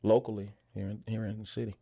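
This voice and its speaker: A man's voice: fairly low pitch, flat delivery, quick pace, creaky, mellow. A man. He speaks casually and conversationally, as an interview guest sharing experience. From Memphis, United States. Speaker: interview guest, actor and filmmaker.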